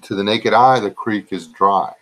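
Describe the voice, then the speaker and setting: Slow, drawling low-voiced man. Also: steady tone, fairly bright tone, rough recording. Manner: slow, drawling, low-key voice that viewers call relaxing or boring. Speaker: live stream host chatting with viewers. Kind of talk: rambling casual live chat.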